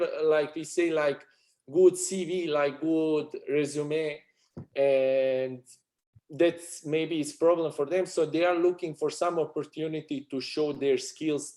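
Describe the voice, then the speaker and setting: A man's voice: on the high side, a little mellow. A man from Serbia with a Serbian accent, a sports agency owner speaking non-native English in a casual interview, full of restarts and fillers.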